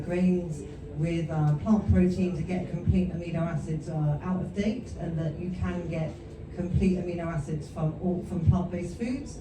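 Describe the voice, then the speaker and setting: A woman answering as a panelist in a live discussion, explaining in measured, orderly phrases. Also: on the low side. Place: live panel event indoors. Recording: echoey noisy room, distant microphone.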